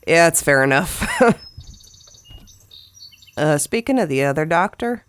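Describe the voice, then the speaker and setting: An actress playing a gruff, kind-hearted, plain-spoken woman in an audio drama, brusque but affectionate. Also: low-pitched, lively intonation, measured pace. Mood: gruff, kindly. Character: gruff, folksy, kind-hearted tough woman, freshly injured.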